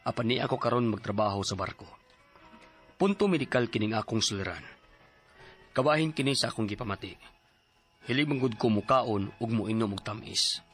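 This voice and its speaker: A man's voice: fairly low pitch, expressive, fairly quick, pauses often, a bit bright, faint music behind. A man in his forties with a Cebuano accent, telling his own story in a radio drama, plaintive and sighing. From Philippines. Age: 40s. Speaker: narrator and letter writer.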